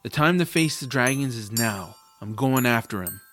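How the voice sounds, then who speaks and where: Guy playing a young hero telling his own story, medium pitch, earnest and youthful, fantasy audiobook. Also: expressive, normal speed, background noise, natural voice. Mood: determined. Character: earnest young boy hero, self-doubting but determined. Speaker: first-person narrator. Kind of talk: dramatic audiobook narration and dialogue.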